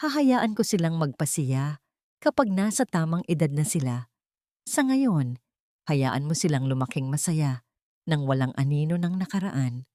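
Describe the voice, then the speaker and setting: A woman voicing a composed mother who speaks gently and firmly as she forgives. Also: sits low, lively intonation, unhurried, dry recording, studio quality. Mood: gentle, firm. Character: calm, firm, forgiving mother and seamstress.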